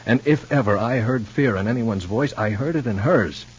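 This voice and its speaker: A man playing an earnest, worried, ordinary fellow in a 1950s radio soap opera, speaking in a halting way. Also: medium pitch, husky, lightly acted. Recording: muffled old radio recording with hum.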